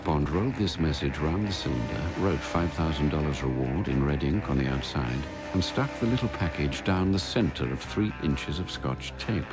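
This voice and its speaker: An actor as a storyteller, giving measured, matter-of-fact narration in a radio play. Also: male voice, very deep voice. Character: measured male storyteller narrating a spy thriller. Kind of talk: scripted radio drama narration. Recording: narration over background music.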